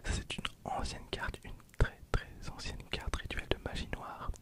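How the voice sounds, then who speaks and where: A man in his twenties whispering softly and informally, as in a casual ASMR video with hushed running commentary. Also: moderate pace. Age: 20s.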